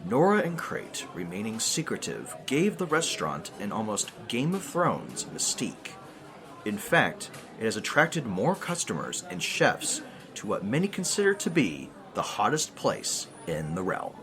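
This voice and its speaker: A man playing an earnest, slightly cowardly news reporter, narrating a mock documentary with a husky voice and tongue-in-cheek delivery. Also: lowish voice, wildly swooping pitch, measured pace, crowd noise, exaggerated voice. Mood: narrating, intrigued.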